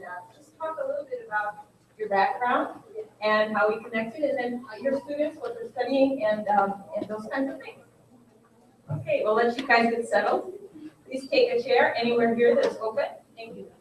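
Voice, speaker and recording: A woman's voice: fairly high pitch, moderate pace. A woman hosting a forum, welcoming people with brief prompts. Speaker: event host. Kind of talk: moderating a forum. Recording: echoey hall, mic from a distance.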